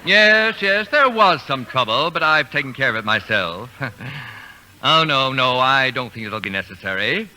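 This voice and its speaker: Haughty, bright-voiced gentleman in old radio comedy. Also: medium pitch, animated, normal speed. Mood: smug, composed. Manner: haughty, precise, formal diction. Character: pompous, imperturbable, erudite middle-aged gentleman college student. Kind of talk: old American radio comedy-drama acting. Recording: noisy vintage radio broadcast recording.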